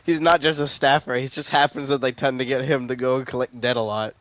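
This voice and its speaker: A man streaming a game, giving casual, slangy and excitable commentary. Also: mid-range voice, fast talker, rough recording. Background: faint game music.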